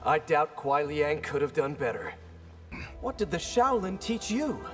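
A young, friendly ninja from a fighting game. He is earnest and plain-spoken, with a lightly raised pitch and varied melody. There is music behind him. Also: big pitch swings, a little slow. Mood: earnest friendliness. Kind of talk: video game fight-intro banter.

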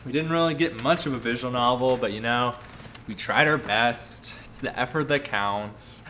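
A man's voice: medium pitch, normal speed, bright, forceful tone, slight background noise. A young man narrating a casual vlog in a wry, self-deprecating way. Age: young adult. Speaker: vlogger, game developer.

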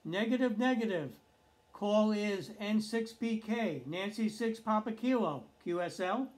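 A man's voice: high-pitched, expressive, unhurried, darkish tone. An older man working as an amateur radio operator, giving clipped exchanges with phonetic spelling over two-way radio.

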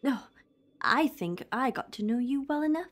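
A woman playing a tough, stubborn young time traveller's companion in an audio drama, blunt and quick to answer back. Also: high-pitched, expressive. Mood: firm, resolute.

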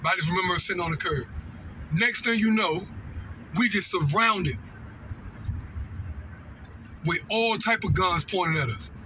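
Male storyteller, high and forceful voice, casual slangy delivery, recorded in a car. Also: a little slow, long pauses, low-quality recording. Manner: animated, repetitive, slangy, conversational asides. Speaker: storytelling vlogger. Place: inside a moving car. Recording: vehicle road noise.

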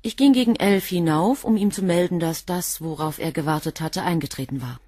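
An actress playing a cool, devoted assistant to a professor in a radio drama, answering questions in a guarded, curt way. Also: female voice, lowish voice, brisk, mellow, no room sound, clean studio sound, lightly acted. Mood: composed. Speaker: witness under questioning.

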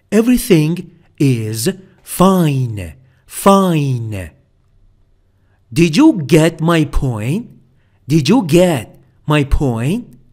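A man with a high, very animated voice teaches everyday English phrases. He speaks slowly and drills each expression, repeating it for listeners to copy. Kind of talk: slow spoken English lesson with repeated phrases. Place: voice-over for slide video.

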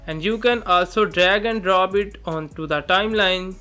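A man with a notably high voice gives step-by-step software instructions. His tone is bright and forward, his phrasing simple, and music plays faintly underneath. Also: moderate pace, clean tone. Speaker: software tutorial presenter. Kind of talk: step-by-step tutorial narration. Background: background music.

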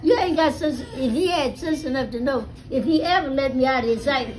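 An elderly American woman telling stories casually to family, with a salty, animated manner, recorded on a phone at home. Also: high voice, a little fast, faint music behind, rough recording. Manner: animated, salty, acts out dialogue with swearing. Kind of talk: casual storytelling among family. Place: small living room at home. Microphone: phone held near her.

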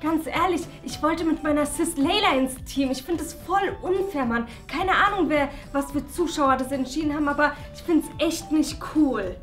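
A young woman acting as a sulky, blunt teenage camper in a scripted reality show. Her voice is high and sharp, her talk slangy and full of complaint. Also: moderate pace, hardly any pauses, roomy sound, faint music behind. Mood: sulky, defiant. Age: young adult. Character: sulky, slangy female teenage truant at summer camp.

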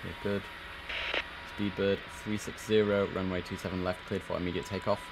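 A young man with a deep, low voice works as a game air traffic controller, switching from crisp radio calls to relaxed chatter. His audio carries a clear layer of background noise. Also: brisk. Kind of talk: gameplay commentary with radio phraseology. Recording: noisy game stream audio.

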